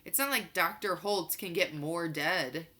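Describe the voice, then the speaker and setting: An insistent, precocious boy from a children's novel, played by a woman reader. The voice is forceful and very bright, and he argues his case stubbornly. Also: fairly low pitch, a little slow. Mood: insistent, questioning. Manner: insistent, argumentative. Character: young boy Dashiell, precocious, stubborn moon-base kid.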